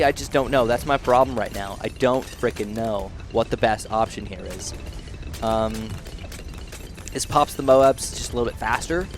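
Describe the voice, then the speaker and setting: A young man with a nasal voice giving rambling, excitable commentary while playing a video game. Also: mid-range voice, slight background noise. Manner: rambling, excitable, thinks aloud. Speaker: gaming channel host. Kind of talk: casual gameplay commentary.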